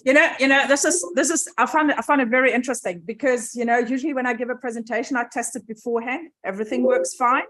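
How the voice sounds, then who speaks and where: A woman's voice: a little high, steady tone, fast, hardly any pauses, a bit bright, low-quality recording. A woman presenting a university science centre, talking casually and laughing as she repeats herself while fighting screen-sharing troubles in a lecture venue. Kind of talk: informal talk with tech troubles. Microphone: room mic, sometimes off mic.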